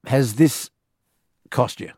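A middle-aged man hosting a talk radio show, gruff and teasing. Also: mid-range voice, a little slow, darkish tone, no room sound, clean studio sound. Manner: gruff, teasing, self-mocking. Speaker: radio host.

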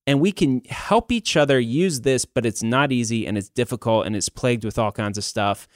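A man hosts a podcast in an easy, conversational style, addressing listeners like buddies. His voice sits at a middling pitch, and the recording is dry and close, with very little room sound. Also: normal speed, no room sound. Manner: informal, buddy-like address to male listeners. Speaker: podcast host. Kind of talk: casual conversational podcast talk.

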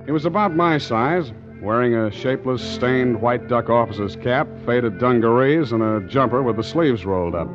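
A man acts a hard-boiled ship's skipper with a lowish voice and a wry, secretive edge. It is vintage 1940s radio adventure acting, dulled by the old recording, with music clearly underneath. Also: expressive, normal speed, hardly any pauses, highs cut off, natural voice. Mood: brooding narration. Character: tough, sardonic, secretive ship's skipper, ex-Marine.

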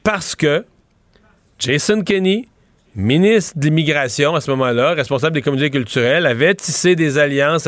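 Middle-aged Québécois man, political commentator, rambling talk, slightly high voice, dry close sound. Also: dry recording. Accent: Québécois French. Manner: rambling, animated, restarts mid-sentence. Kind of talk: casual political analysis chat.

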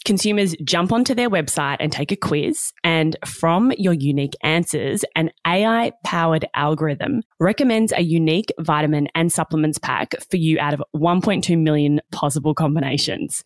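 A woman's voice: deep voice, moderate pace, hardly any pauses, dry recording, clean studio sound. A woman hosting a business podcast, reading a polished introduction and then asking questions. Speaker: podcast host.

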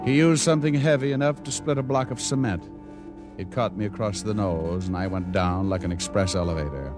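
A male actor as a hard-boiled private eye with a lowish voice. He tells things in dry, deadpan slang, like classic radio noir. Music plays clearly behind him on a vintage recording. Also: a little fast, lightly acted. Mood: deadpan narrating. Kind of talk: 1940s-50s radio noir acting and narration.

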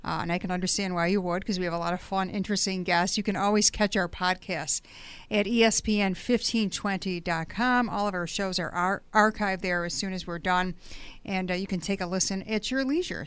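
A woman's voice: mid-range voice, fairly quick, a bit bright, smooth. A woman hosting a casual radio interview in a studio, chatty and fond of puns, laughing often. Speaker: radio host. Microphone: studio microphone.

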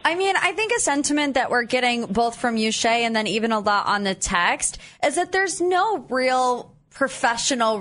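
Lively-voiced woman radio co-host. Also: on the high side. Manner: conversational, agreeable. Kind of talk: morning radio talk. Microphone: studio mic.

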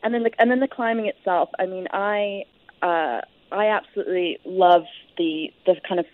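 A woman's voice: fairly low pitch, moderate pace, dry recording, narrow, tinny audio. A woman giving detailed, reflective answers as a guest author in a conversational interview.

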